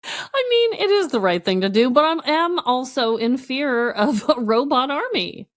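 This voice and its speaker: A woman's voice: sits high, normal speed. A woman co-hosting a podcast, telling personal stories in a casual, self-deprecating way, acting out quotes and often laughing as she talks. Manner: animated retelling with acted-out quotes, self-deprecating; laughs while talking. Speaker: podcast co-host. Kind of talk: casual chat, personal storytelling.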